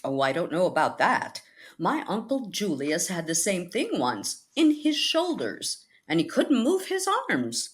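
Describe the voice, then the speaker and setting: Ailing, scatterbrained spinster lady, female actor, 1940s radio comedy: doleful yet chirpy, rather low, highly animated intonation. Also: unhurried. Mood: cheerfully morbid. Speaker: actress in a radio comedy recreation.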